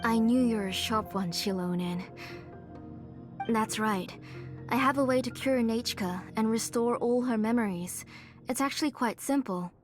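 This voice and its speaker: A woman's voice: on the high side, lightly acted. A woman playing a weary elderly aunt. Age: older. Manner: soft, tired, measured. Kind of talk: video game dub acting. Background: game music.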